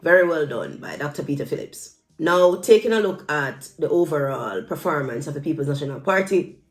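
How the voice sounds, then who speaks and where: A woman's voice: deep voice, lively intonation, brisk, soft background music. A woman from Jamaica giving casual political commentary, conversational and repeating phrases for emphasis.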